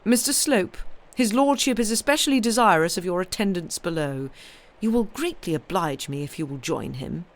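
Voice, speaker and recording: A woman's voice: fairly low pitch, lively intonation, normal speed. Imperious matron of a grand house, played by a female narrator, formal, indignant, commanding; novel reading. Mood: stern.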